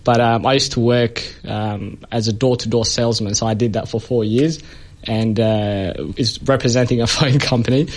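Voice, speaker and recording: A man's voice: mid-range voice, fairly even intonation, moderate pace. Chatty man telling stories. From Ethiopia. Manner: chatty storyteller, many fillers, laughs often. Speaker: comedian guest. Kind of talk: casual anecdotal interview chat.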